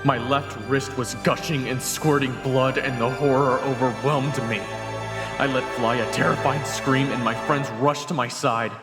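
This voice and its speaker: An actor playing a compassionate young fantasy hero in an audio drama, speaking earnestly and emotively. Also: male voice, medium pitch, fairly even intonation, moderate pace, over loud music, close to an everyday voice. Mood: grim, urgent. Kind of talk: audio drama acting and narration.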